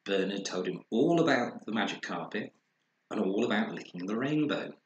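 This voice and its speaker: A man reads a children's story with expressive drama. His voice is on the low side and moves around a lot in pitch. The room is echoey, with faint noise behind. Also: moderate pace, highs cut off. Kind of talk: scripted children's story narration.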